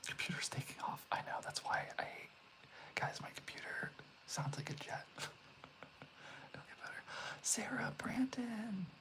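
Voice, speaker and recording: Whispering young man with a gravelly edge. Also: very high voice, lively intonation, a little slow, pauses often, low-quality recording. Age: young adult. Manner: soft unvoiced whisper, chatty and reassuring with viewers. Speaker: ASMR livestream host. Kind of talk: whispered ASMR livestream chat.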